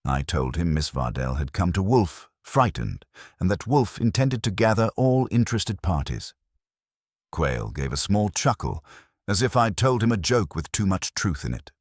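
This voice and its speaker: A male narrator with a very low, bass voice tells a detective story in scripted first person. His delivery is measured and dramatic, with animated pitch movement. The sound is dry, with no room to it. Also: no echo. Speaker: audiobook narrator.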